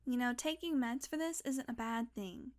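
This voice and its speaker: A woman's voice: a little high, moderate pace, darkish tone, close to an everyday voice. A woman playing a snarky, teasing, grudgingly caring vampire in an intimate audio roleplay, with casual, sardonic banter. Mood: concerned, teasing.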